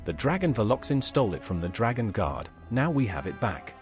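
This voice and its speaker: A man's voice: lowish voice, very animated, a little fast, soft background music. An actor playing a plain-spoken male adventurer in a video game, with a direct, questioning manner.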